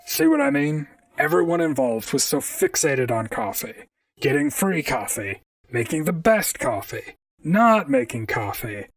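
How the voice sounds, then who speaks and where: A man's voice: fairly high pitch, huge pitch swings, a little slow, creaky, dry recording, recorded from a distance, exaggerated voice. A man playing an earnest, inquisitive robot private detective in an audio drama. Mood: bemused. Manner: earnest, questioning, narrates his own cases. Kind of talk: audio drama acting and narration.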